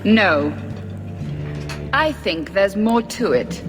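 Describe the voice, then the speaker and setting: Stern game interrogator, high and deliberate, drenched in reverb over music. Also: male voice, very animated, exaggerated voice. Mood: insistent, suspicious. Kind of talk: fantasy video game acting.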